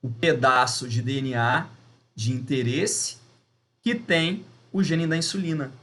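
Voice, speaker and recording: A man's voice: mid-range voice, a little slow, a bit bright. A man with a Brazilian Portuguese accent teaching a lesson step by step, emphatic and didactic, often putting questions to his listeners. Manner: didactic, emphatic, with rhetorical questions and check-ins. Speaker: biology teacher. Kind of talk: video lesson, explaining step by step.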